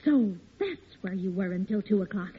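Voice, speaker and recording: An actress playing an exasperated, sharp-tongued American wife and mother in an old radio sitcom, scolding and emphatic. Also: mid-range voice, expressive, moderate pace, close to an everyday voice. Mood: angry scolding. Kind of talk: 1950s radio sitcom acting. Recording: tinny old radio broadcast recording.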